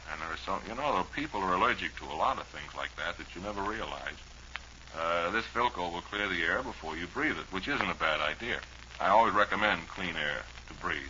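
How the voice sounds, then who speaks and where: A man's voice: low voice, bright, forceful tone. A man with an American accent hosting an old-time radio show, rambling through ad-libbed chat and commercials in a folksy, wry way. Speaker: radio host. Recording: dull, noisy old radio broadcast recording.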